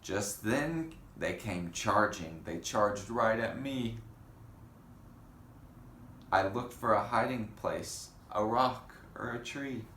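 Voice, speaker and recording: A man reading a children's picture book aloud in an unhurried way, pausing for casual comments and questions. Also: on the low side, a bit bright. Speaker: storybook reader. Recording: roomy echo with faint background noise.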